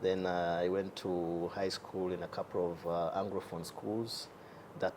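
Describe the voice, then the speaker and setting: A man's voice: low-pitched, level tone, unhurried, a little mellow, slight background noise. A young man as an interview guest, talking casually with many fillers and easy laughs. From Uganda. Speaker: guest, entrepreneur.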